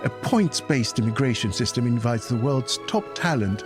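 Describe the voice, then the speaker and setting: A grand, pompous patriotic orator, played by a man gives a dramatic reading. The mid-pitched voice is animated and declamatory, proudly triumphant, with faint music behind. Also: moderate pace, close to an everyday voice. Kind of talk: dramatic reading of a political essay.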